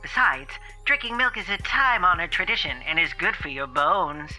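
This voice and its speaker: A man voicing a smug, menacing ad-industry operative on a recorded phone call in a comedy sketch, calmly delivering veiled threats. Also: high-pitched, moderate pace, exaggerated voice. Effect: phone-call recording filter. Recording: harsh bright phone recording, music behind.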